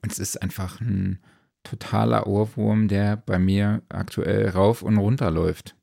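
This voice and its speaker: A man's voice: fairly low pitch, fairly even intonation, a little slow, vocal fry, dark tone, dry recording, studio quality. A man co-hosting a podcast in a casual, conversational style. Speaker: podcast co-host. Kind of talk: casual chat.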